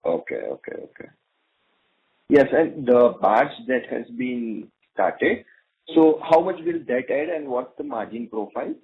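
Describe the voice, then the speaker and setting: Male Indian analyst, husky mid-pitched voice, hesitant phrasing, phoning into a conference call on a narrow-band line. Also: expressive, unhurried. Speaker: analyst caller. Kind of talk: earnings call questioning. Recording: muffled, dull conference phone line.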